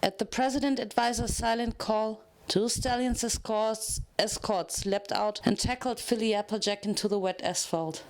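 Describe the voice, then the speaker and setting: A woman's voice: on the high side, fairly even intonation, normal speed, hardly any pauses. A woman narrating a scripted story as a dramatic reading.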